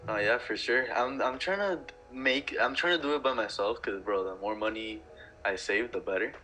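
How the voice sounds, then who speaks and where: A young man hosts a livestream in loose, slangy casual chat and swears freely. His voice is bright and forceful at a middle pitch. Faint music runs behind him. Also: moderate pace, low-quality recording. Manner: laid-back, slangy, swears a lot. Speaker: livestream host.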